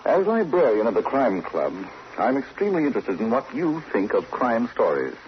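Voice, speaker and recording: A male host of an old radio program speaks to the listener with polished formality. His medium-pitched voice is lively in intonation. The sound is muffled and slightly noisy. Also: moderate pace. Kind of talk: old-time radio narration, addressing listeners.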